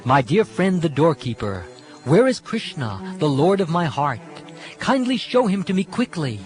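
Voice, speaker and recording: A male reader plays an ecstatic holy man in a devotional story, lovelorn and fervent. His high voice rises and falls with reverent yearning over sitar music. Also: big pitch swings, measured pace. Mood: pleading, yearning.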